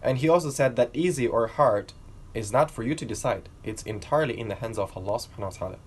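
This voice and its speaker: A man's voice: medium pitch, quick pace, husky. A young man from China telling his own learning story to camera in an earnest, reflective way. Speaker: vlogger.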